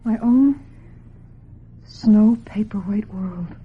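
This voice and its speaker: An actress playing a vulnerable young film actress in a radio drama, breathy, hesitant and plaintive as she resists pressure. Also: medium pitch, drawn-out words, full character voice. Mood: wistful, vulnerable. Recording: dull, muffled old radio drama recording.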